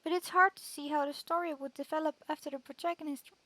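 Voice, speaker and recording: A woman's voice: high-pitched, steady tone, fast, fairly bright tone. A woman streamer reading game text aloud steadily, sometimes restarting a word.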